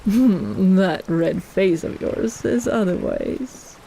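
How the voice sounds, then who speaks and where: A woman's voice: medium pitch, animated, drawn-out words, gravelly, mellow, a little hiss or hum, heavily acted. A woman playing a possessive, teasing kraken in a roleplay audio drama, cooing and bossing like a doting big sister.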